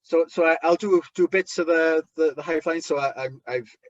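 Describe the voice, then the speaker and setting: A man with a thick Welsh accent, a wrestler chatting as an interview guest, talking haltingly with lots of restarts and fillers. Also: on the high side, dry recording, muffled recording. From Wales. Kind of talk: casual interview chat.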